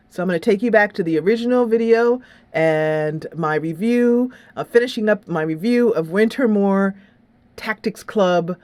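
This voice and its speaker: A woman's voice: lowish voice, darkish tone, no echo. A woman hosting a gaming channel, chatting casually in a rambling way with some dramatic rhetorical flourishes. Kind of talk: casual game review and upcoming series chat. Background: music.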